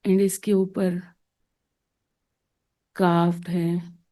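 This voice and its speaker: A woman guides a meditation in a soft, mellow voice that sits a little low. She moves very slowly, placing short phrases gently with space between them. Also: level tone. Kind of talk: slow soothing guided meditation narration.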